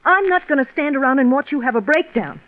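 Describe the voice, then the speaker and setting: An actress playing a worried, exasperated wife in 1940s radio drama style, earnest, emotional and insistent. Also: female voice, high-pitched, expressive, fast talker. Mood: worried insistence. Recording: thin, phone-like 1940s radio recording.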